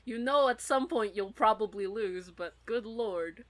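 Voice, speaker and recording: A woman's voice: fairly high pitch, a little slow, a crowd in the background. A woman in a casual, rambling fan discussion, talking as a podcast co-host.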